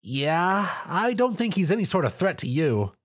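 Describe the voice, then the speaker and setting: A man's voice: high-pitched, very animated, unhurried, slightly rough, voice pushed up, exaggerated voice. A man voicing a brash, cocky, tomboyish young female pony in a story. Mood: mocking. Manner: cocky, blunt.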